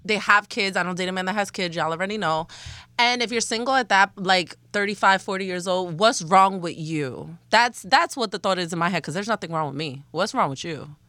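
Blunt, bright-voiced woman in her thirties. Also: lowish voice, brisk, dry recording. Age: 30s. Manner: blunt, outspoken, sassy. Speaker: podcast co-host. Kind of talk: casual chat.

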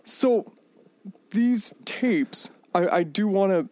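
A man's voice: high voice, expressive, slow pace, darkish tone. A man speaking as a guest expert in a radio interview, hesitant, polite and apologetic.

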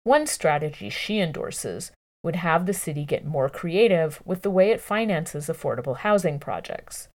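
Woman reading journalism, lively low-pitched delivery. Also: moderate pace, few pauses. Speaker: narrator reading a news article aloud. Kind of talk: scripted narration of long-form journalism.

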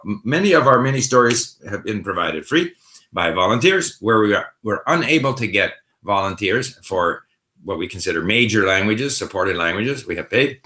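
A man's voice: lowish voice, sharp tone. A middle-aged man hosting a casual language-learning question session, talking in a thoughtful, conversational way with frequent fillers and restarts. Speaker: language-learning host answering viewer questions. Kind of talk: casual live Q&A. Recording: roomy echo.

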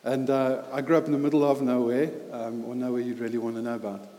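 A man in his thirties with a South African accent, preaching a sermon with a personal story in a halting, earnest way with many fillers. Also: medium pitch, level tone, mellow. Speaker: lay preacher. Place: church hall. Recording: echoey hall with long reverb.

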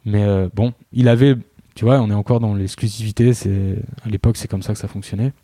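A man's voice: fairly low pitch, steady tone, brisk, dark tone, dry recording. Man telling a story in a dry booth. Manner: chatty storyteller, self-mocking. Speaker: guest. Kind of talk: casual podcast conversation.

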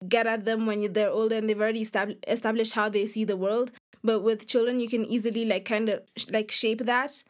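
A young woman answering interview questions as an author, in a casual, youthful way with lots of "like". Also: fairly high pitch. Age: young adult. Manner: youthful, informal, frequent "like".

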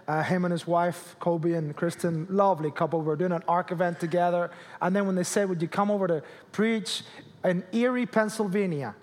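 A man, a guest pastor preaching a jokey, animated sermon to a church crowd. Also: high voice, moderate pace. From Spain, Canary Islands. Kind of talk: sermon with humor. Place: church hall with audience. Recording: long reverb of a large hall.

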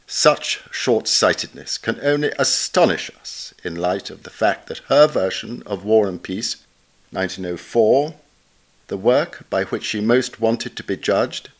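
Male narrator, lowish register, for a formal, measured reading of a literary essay. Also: expressive. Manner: measured, formal, reading punctuation and dates aloud. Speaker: reader of a recorded article.

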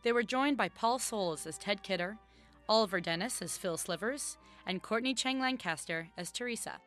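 A woman's voice: mid-range voice, moderate pace, a bit bright. A woman reading scripted credits as an announcer. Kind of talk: scripted narration. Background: music.

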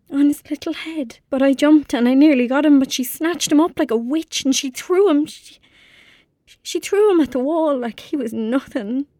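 An actress playing a frightened, guilt-ridden young girl in an audio drama, with an Irish accent and a halting, intense delivery that dips toward whispers. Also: high voice, darkish tone, no echo, studio quality.

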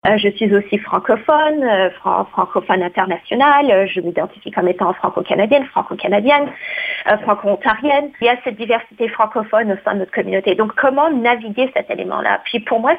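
A woman's voice: medium pitch, vocal fry, slightly rough. A woman who leads a community association, answering an interview question in an earnest, reflective way and often repeating words as she searches for them. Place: studio. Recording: phone-like lo-fi sound.

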